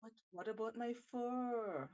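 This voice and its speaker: A woman's voice: on the high side, slow, a little mellow, dull audio, pitched above the performer's own voice. A woman voicing a wary, reluctant male monkey in a storybook, hesitant and protesting.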